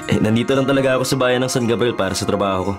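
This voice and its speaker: A man's voice: medium pitch, rapid-fire, over music, natural voice. An actor playing a skeptical young male journalist with a Filipino accent, hesitant and evasive, in a radio drama. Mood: guarded.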